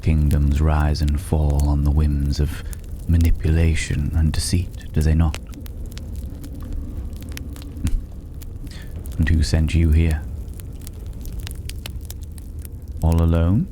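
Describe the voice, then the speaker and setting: Man playing a very deep-voiced, languid mysterious stranger. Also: monotone. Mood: taunting. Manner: languid, teasing, condescending, with drawn-out pauses. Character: mysterious male stranger dwelling in a dragon's hoard, mocking, worldly. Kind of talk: ASMR fantasy roleplay audio drama. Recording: clearly noisy ambient background.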